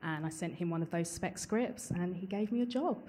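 A woman's voice: on the low side, moderate pace, dark tone, a little hiss or hum. A female screenwriter on a live panel, telling her start plainly and concisely.

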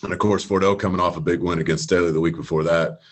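A man, a high school football coach, talking as a guest over a video call, rambling with many fillers and restarts. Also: steady tone, fast talker, husky. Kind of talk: casual radio interview.

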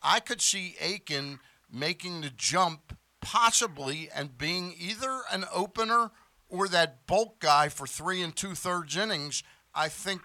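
A man with a bright, forceful, slightly high voice talks sports as a radio host. He is opinionated and conversational, making his points in casual discussion. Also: no echo.

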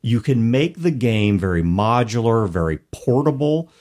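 A man hosting a talk show about tabletop gaming, explaining things in an articulate, conversational way with measured, emphatic phrasing. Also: medium pitch, very animated, darkish tone. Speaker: podcast host. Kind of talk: conversational advice on tabletop game mastering.

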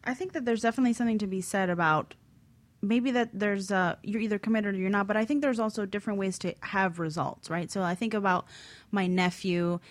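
A woman's voice: medium pitch, fairly even intonation, fairly quick. A woman chatting casually as a talk show panelist, often checking that her listeners agree. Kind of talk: casual chat, personal anecdote.

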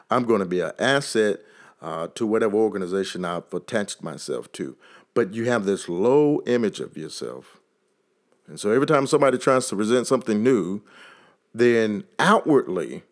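A man with a Texas accent hosting a podcast and giving a motivational talk, repeating phrases and building emphasis like a preacher. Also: lowish voice. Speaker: podcast host.

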